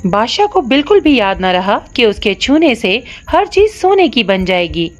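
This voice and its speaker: A woman narrating a scripted children's cartoon story in an expressive storytelling manner. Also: fairly high pitch, normal speed, faint music behind.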